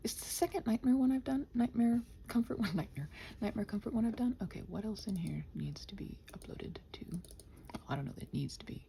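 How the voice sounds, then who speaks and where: Scattered, muttering woman with a lively, creaky voice. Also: on the high side, brisk, slight background noise. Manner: scattered, muttering to herself while working; playful, chatty, talks to her computer. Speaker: ASMR audio creator rambling to listeners. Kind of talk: casual unscripted body-doubling ramble.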